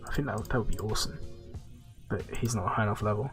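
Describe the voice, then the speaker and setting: A man with a blocked nose and a nasal voice, chatting casually on a gaming livestream and answering viewers' questions in a rambling, relaxed way. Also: fairly low pitch, rapid-fire, soft background music. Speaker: streamer.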